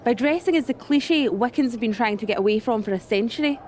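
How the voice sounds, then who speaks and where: A woman's voice: on the high side, animated, fast talker, a little mellow, a little hiss or hum, lightly acted. A woman voicing a scornful, exasperated coven leader in a wrestling video game, with a sardonic, scolding manner. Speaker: voice actor in a video game cutscene.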